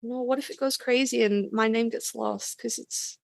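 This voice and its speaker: A woman's voice: fairly high pitch, steady tone, normal speed, vocal fry, no room sound. A woman chatting casually in a self-mocking way, acting out imagined remarks as she goes. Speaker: podcast participant, fanfiction writer. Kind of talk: casual chat.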